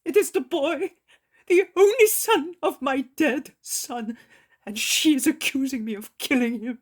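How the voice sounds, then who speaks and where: A woman voicing a desperate old grandfather, pleading in a high, loud and tearful voice. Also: a little slow.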